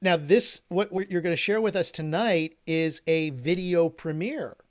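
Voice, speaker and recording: A man co-hosting a virtual open mic night, encouraging the performers in a measured way. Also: a little high. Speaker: co-host.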